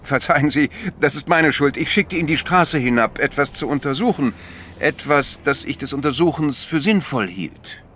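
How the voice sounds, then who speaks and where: An actor playing a polite, thoughtful priest-detective who asks orderly, gentle questions in a radio play. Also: male voice, on the high side, lively intonation, normal speed, slight background noise. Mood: courteous, thoughtful. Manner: courteous, orderly, gently inquisitive.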